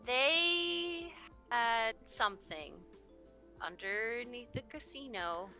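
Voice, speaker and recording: A woman voicing a secretive young woman adventurer in a tabletop game, talking slowly, hesitantly and coaxingly. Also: on the high side, lively intonation, pushed and bright, faint music behind, heavily acted.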